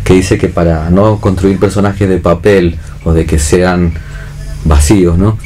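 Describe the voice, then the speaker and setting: Very deep-voiced, mellow Argentine man. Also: steady tone, slight background noise. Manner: halting, searching, frequent tag questions. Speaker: theatre director and actor, panel guest. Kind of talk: reflective unscripted panel discussion.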